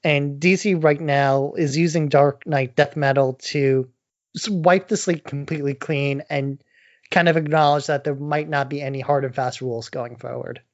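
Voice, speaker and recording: A man's voice: a little high, steady tone, normal speed, no echo. Dry, dull-sounding man co-hosting a podcast. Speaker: podcast co-host. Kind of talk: casual explanatory podcast discussion of comics.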